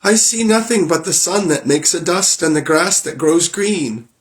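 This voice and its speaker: A young woman high on a castle tower calls down in a light, sing-song voice. She answers evenly and plainly, voiced by a man reading a fairy tale. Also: expressive, moderate pace, natural voice. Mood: lookout report. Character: sister watching from a tower.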